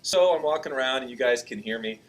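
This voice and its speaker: A man teaching a class, talking casually in a loud, projecting voice and often checking in with students while he walks around the classroom. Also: mid-range voice, animated, fast talker, rough recording. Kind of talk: classroom lesson, walking around.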